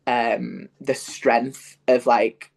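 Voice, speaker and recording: A man's voice: mid-range voice, level tone, drawn-out words, creaky, darkish tone. A young man from Yorkshire with a Northern English accent, chatting as an interview guest, full of fillers and restarts. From Yorkshire, England. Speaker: guest, musical theatre performer. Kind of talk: casual interview chat.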